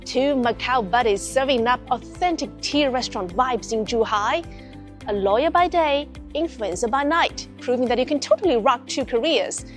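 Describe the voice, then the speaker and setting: A woman's voice: high voice, animated, moderate pace, faint music behind. A woman hosting a magazine show, presenting in a breezy, upbeat, slangy way. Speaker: host.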